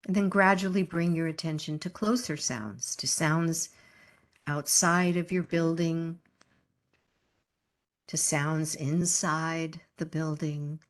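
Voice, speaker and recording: Slow, low-pitched woman guiding meditation. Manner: calm, gentle, unhurried with long pauses. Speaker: yoga nidra meditation teacher. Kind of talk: guided meditation instruction.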